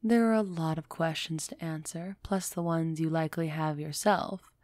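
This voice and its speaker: A woman playing an aloof, aristocratic vampire who is softening toward a human, in a measured, musing audio roleplay performance. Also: sits low, fairly even intonation, dry recording, studio quality, close to an everyday voice. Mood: aloof explaining. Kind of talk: scripted audio roleplay acting, close and soft.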